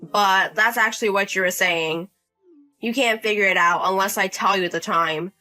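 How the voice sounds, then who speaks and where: A woman's voice: medium pitch, moderate pace, pushed and bright, faint music behind, close to an everyday voice, rough recording. A woman voicing a bullying, condescending man. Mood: scolding. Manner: demanding, condescending, scolding. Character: entitled bullying older brother-in-law, man in his 30s.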